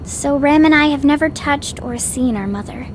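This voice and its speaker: A woman playing an excitable, romantic young woman in an anime game dub, with a gushing, dramatic way of talking. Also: high voice, normal speed, slight background noise, natural voice. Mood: wistful, open.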